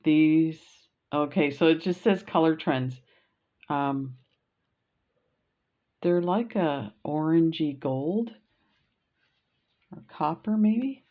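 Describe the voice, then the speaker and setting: A middle-aged Canadian woman talks slowly through a bead haul, chatty and gushing, full of little fillers. Her voice sits low, and the home recording sounds dull and lo-fi. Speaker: beading vlogger. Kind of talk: casual unboxing chat.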